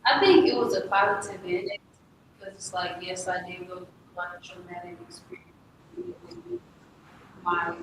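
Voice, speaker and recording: The young woman you'd hear speaking haltingly at a town forum, lively in pitch, far from the mic in a noisy echoing room. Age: young adult. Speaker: community panel guest, former foster youth. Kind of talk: personal testimony in a public conversation.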